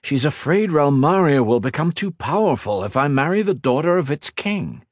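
A man's voice: a little high, sing-song, moderate pace, no room sound, studio quality, pitched above the performer's own voice. A man playing a desperate male mage in an audiobook, pleading urgently and earnestly.

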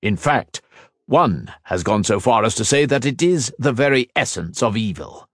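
A man doing a stern baritone council judge. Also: lively intonation, no room sound, clean studio sound. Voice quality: deep baritone. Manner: firm, cutting, measured. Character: stern male council leader, cold judge.

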